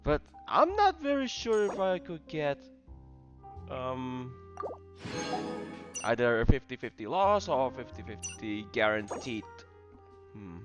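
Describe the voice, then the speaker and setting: Stammering, animated man commentating on a game. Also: medium pitch, music in the background. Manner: halting, stammering, repeats words. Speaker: gaming streamer. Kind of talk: casual live gameplay commentary.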